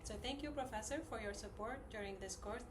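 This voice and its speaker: Brisk young woman from Tunisia. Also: on the high side, background noise. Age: young adult. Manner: brisk, friendly address to a professor. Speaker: student team member. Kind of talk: group introduction and thanks. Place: indoor room, group standing.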